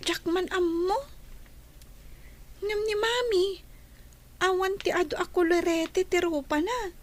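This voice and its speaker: A young child in a radio drama, innocent and full of curiosity. The voice is extremely high and unhurried, chatting with childlike openness. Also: female voice, exaggerated voice.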